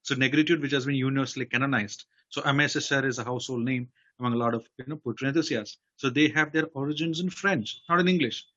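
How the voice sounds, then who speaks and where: A man hosting a poetry festival interview over a video call, asking long, halting questions full of restarts. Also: mid-range voice, a bit bright, highs cut off. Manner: hesitant, many fillers and restarts.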